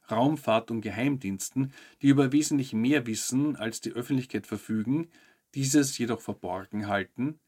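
A man hosts a news show, reading scripted narration with personal commentary in a measured, articulate way. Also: medium pitch. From Austria. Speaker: host of a weekly UFO news show.

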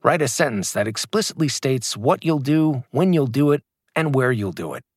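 A man reads his own fitness book aloud in a motivational, emphatic way. His pitch moves a lot across the lines. The recording is dry and close, with no room sound. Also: expressive, normal speed, no echo. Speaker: author reading his own audiobook. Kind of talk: scripted audiobook narration.

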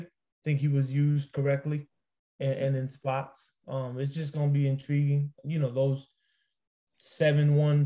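A man, a first-time guest on a sports podcast, giving measured opinions and thinking aloud with fillers. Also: mid-range voice, dark tone. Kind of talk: casual sports analysis chat.

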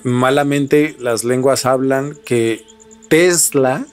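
A man's voice: mid-range voice, takes it slowly, clean tone, faint music behind. A man with a Mexican accent hosting a podcast, telling things in a casual, colloquial way and repeating words for emphasis. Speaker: podcast host.

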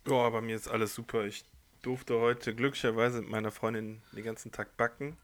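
A man's voice: medium pitch, fairly even intonation, brisk, fairly bright tone. A man chatting casually as a podcast co-host, easygoing and joking. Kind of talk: casual chat.

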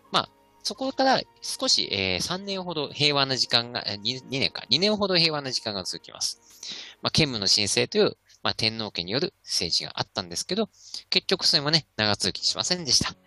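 A man giving an informal, impromptu history explanation in halting, choppy phrases with many fillers. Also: mid-range voice, big pitch swings, sharp tone, no room sound, soft background music. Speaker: history club member, podcast panelist.

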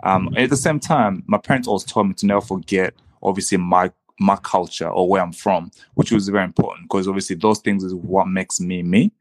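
A man from the Congo who grew up in refugee camps and Australia, a native Swahili speaker, telling his story reflectively and haltingly as an interview guest. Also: sits low, dry recording. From the Congo, raised in Zimbabwean refugee camps and Australia. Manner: halting, with frequent repeats and "you know".

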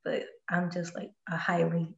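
A soft-spoken woman hosts a podcast at a slightly low pitch. She muses reflectively and leans on a lot of fillers. Her voice comes through roomy and a little dull, as if recorded in an echoey room on a lo-fi mic. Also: completely flat delivery, moderate pace, creaky, slight background noise. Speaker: podcast host. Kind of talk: casual podcast chat.

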